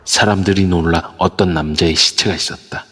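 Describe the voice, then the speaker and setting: A man narrating an amateur audio drama in a steady storytelling manner. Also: low-pitched, moderate pace, heavy background noise. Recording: long reverb over a voice app.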